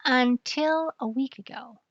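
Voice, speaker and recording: A woman's voice: sits high, slow pace, dry recording. An older woman voicing a worried, pleading male king in a children's storybook. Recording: dull lo-fi dry recording.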